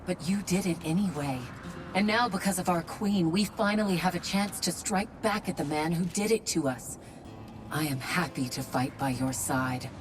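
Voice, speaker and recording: A woman's voice: lowish voice, normal speed, lightly acted. A woman playing a fiercely loyal elf warrior in video game dialogue, firm and earnest. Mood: earnest, grateful. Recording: music behind the voice.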